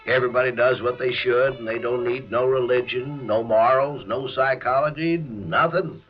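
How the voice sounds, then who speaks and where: An actor playing a plain-spoken, folksy and wry assistant who also narrates a 1950s radio drama. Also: male voice, mid-range voice, steady tone, moderate pace, lightly acted. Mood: matter-of-fact. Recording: dull vintage radio, music behind.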